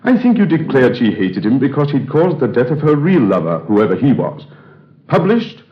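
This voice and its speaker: An actor playing a firm, condescending older friend in a 1930s radio drama. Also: male voice, medium pitch, big pitch swings, moderate pace, natural voice. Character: brisk, patronizing older male friend. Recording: dull roomy 1930s radio recording.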